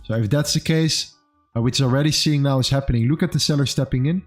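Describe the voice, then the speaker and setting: A man's voice: medium pitch, quick pace. Upbeat twenties male streamer, casual trading talk, faint music under him. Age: 20s. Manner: upbeat, says "amazing" and "bro" often. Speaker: livestream host and trader. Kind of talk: casual livestream chat and market commentary.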